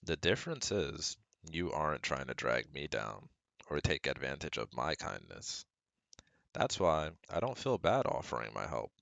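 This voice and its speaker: A man's voice: extremely low pitch, very animated, normal speed, creaky, fairly bright tone, no room sound, exaggerated voice. A man playing a caring, protective best friend who gives firm, earnest advice straight to the listener in an ASMR roleplay. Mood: tender, reassuring. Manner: soothing, earnest, instructive, speaking directly to listener.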